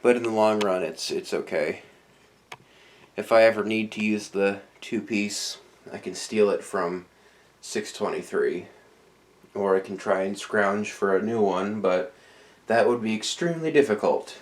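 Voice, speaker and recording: Slightly nasal, low-voiced man rambling. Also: a little slow. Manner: rambling, hesitant, with many restarts. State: a bit nasal and tired after dental work. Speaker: ceiling fan collector. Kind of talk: casual show-and-tell vlog. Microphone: camera on a tripod.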